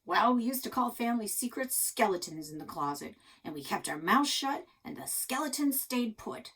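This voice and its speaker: A woman reading a children's storybook, voicing a gruff, grumpy old grandfather with a folksy, plainspoken manner. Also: on the high side, expressive, moderate pace, a bit bright, full character voice. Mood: grumpy, defensive. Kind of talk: children's storybook read-aloud.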